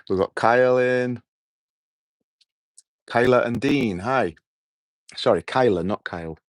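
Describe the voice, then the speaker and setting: A middle-aged man with a hoarse voice, hosting a relaxed, rambling evening livestream outdoors and chatting with his viewers. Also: lowish voice, dry recording. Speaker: livestream host. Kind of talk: casual evening livestream chat. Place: outdoors at night.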